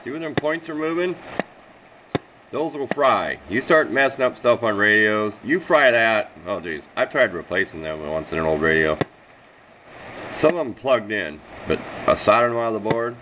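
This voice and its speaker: A man's voice: on the low side, lively intonation, normal speed. An American man giving a casual, unscripted show-and-tell, talking in a plain-spoken, rambling, self-deprecating way. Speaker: hobbyist radio demonstrator. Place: indoors at a workbench. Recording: tinny phone-like sound with clear background noise.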